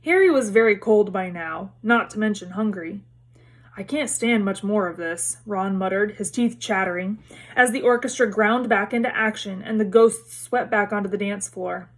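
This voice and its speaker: A woman reading a story aloud as narrator, in expressive storybook narration. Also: medium pitch, moderate pace.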